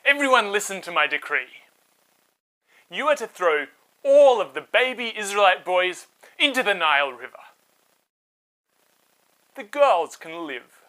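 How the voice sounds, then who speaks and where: Man acting out a loud, commanding Egyptian king. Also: high-pitched, sing-song, full character voice, poor audio. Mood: decreeing. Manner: imperious, slow emphatic decrees. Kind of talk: storytelling for children.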